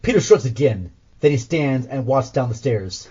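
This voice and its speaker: A man's voice: medium pitch, lively intonation, normal speed, dull audio, heavy background noise. A man reading scripted audio drama narration as the host.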